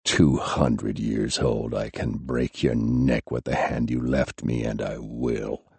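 A man's voice: very deep voice, steady tone, slow, gravelly, a little mellow, breathy edge, no room sound, pitched below the performer's own voice, exaggerated voice. A man voicing a wounded male fighter, thick and muttered, in an audiobook reading. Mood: grim. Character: wounded young male fighter, grim, fading.

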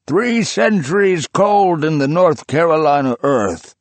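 A man voicing a feverish, imperious old book collector who talks with fierce, rapturous command, raised higher and louder than his narrating voice. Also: expressive, measured pace, dry recording.

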